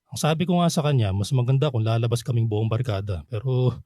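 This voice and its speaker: Actor doing a kindly middle-aged filipino uncle in a radio drama, mellow midrange voice, bit bashful, very dry sound. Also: male voice, mid-range voice, quick pace, dry recording, lightly acted. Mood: apologetic, gentle. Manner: gentle, considerate, conversational.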